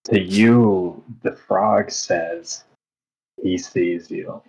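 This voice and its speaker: Male game master, low and husky, unhurried scene narration. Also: sing-song, a little mellow, highs cut off.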